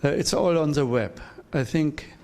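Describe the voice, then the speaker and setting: A man, a professor at a conference, giving a measured, direct academic critique of a presentation. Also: fairly high pitch, vocal fry, warm tone. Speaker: professor, discussant.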